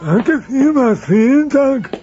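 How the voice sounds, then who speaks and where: A man's voice: very high-pitched, sing-song, slow pace, full character voice. In a children's audio drama, a man voices a blunt, bumbling middle-aged dinosaur father with a clumsy, blustering manner. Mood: flustered. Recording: dull cassette sound, music behind.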